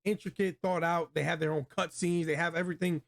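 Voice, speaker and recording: A man's voice: fairly high pitch, fairly even intonation, a little fast, vocal fry. A man hosting a casual movie review chat, speaking in a slangy, emphatic, opinionated way. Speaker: host of a video game movie review show.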